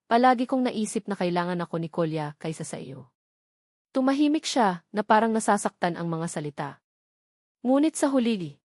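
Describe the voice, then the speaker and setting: A woman's voice: mid-range voice, darkish tone, no room sound, studio quality. A woman reading a scripted story as its narrator.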